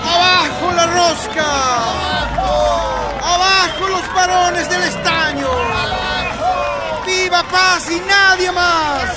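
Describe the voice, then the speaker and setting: A man playing a fervent revolutionary shouting slogans in a radio drama. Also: very high voice, steady tone, slow pace, long pauses. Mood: defiant. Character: fervent revolutionary crowd rallier. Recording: outdoor crowd and loud music behind.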